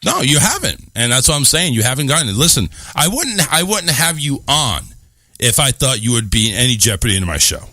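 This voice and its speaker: Stammering, lively talk show host. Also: male voice, mid-range voice, brisk, no echo. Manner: stammering, reassuring, jokey. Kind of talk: casual radio chat.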